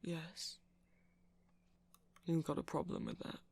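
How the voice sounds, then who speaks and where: A man playing a nerdy vampire in an ASMR roleplay, rambling through explanations in a hesitant, meandering way with a creaky voice. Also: a little high, little pitch movement, slow, a little mellow, heavily acted. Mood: soft, teasing. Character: nerdy male vampire, rambling tech enthusiast, gentle boyfriend. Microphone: close mic, dry.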